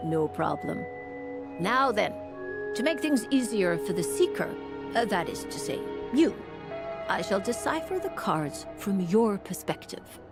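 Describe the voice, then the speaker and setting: An actress playing a refined woman mage and fortune-teller in a video game, speaking formally and courteously with measured eloquence. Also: medium pitch, very animated, creaky. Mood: solemn, guiding. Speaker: fortune-telling mage character. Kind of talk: video game character acting. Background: music.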